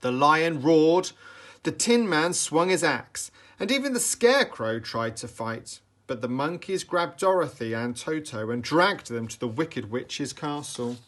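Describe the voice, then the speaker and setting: A man reads a bedtime story to young children. His delivery is slow and very lively, with dramatic emphasis and a bright, forceful tone. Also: on the high side. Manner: animated, dramatic, deliberate emphasis for young listeners. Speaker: storybook reader, teacher.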